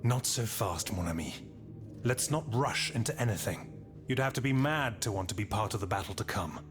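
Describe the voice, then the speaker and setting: A man's voice: lowish voice, animated, normal speed, close to an everyday voice. A man playing a shrewd, worldly French marquis and general in a video game, assured and formal. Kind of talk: dramatic video game acting. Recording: music behind the voice.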